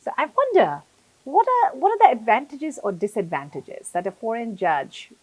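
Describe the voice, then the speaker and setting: A woman's voice: a little high, wildly swooping pitch, normal speed, darkish tone, no room sound, low-quality recording. A woman hosting an interview, asking curious questions and encouraging her guest with short replies. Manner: curious, encouraging back-channels. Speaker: interview host.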